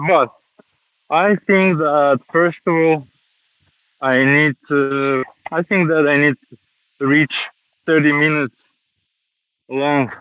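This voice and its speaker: Man vlogging on a walk: slow, halting learner English, dull dry phone audio. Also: mid-range voice, no echo. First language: Russian. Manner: slow, hesitant learner English with many pauses. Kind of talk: casual walking vlog monologue. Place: outdoors, walking around a city.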